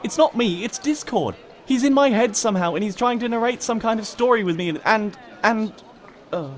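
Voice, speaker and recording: A man's voice: sits high, fairly quick, pitched above the performer's own voice, lightly acted. A man voicing a flustered, indignant young female cartoon pony who is easily exasperated. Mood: desperate pleading. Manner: stammering and muttering, snapping back at a narrator.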